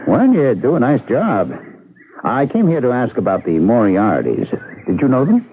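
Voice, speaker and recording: A man's voice: mid-range voice, wildly swooping pitch, moderate pace, breathy edge. An actor playing an earnest, persistent mailman, polite and conversational with some hesitation, in a radio drama. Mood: friendly, inquiring. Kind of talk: 1970s radio drama acting. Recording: muffled old radio broadcast with hiss.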